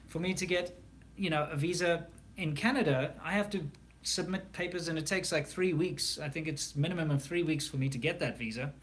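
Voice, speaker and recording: A man's voice: mid-range voice, a little hiss or hum. A man from South Africa with a South African English accent, hosting a casual live stream and answering viewer questions in a chatty way, with frequent ums and repeated words.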